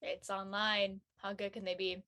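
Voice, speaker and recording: A woman's voice: medium pitch, level tone, normal speed, bright, forceful tone. A young woman playing a lonely, discouraged college student, speaking in a hesitant, plaintive way in a dramatic script reading. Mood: wistful. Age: young adult.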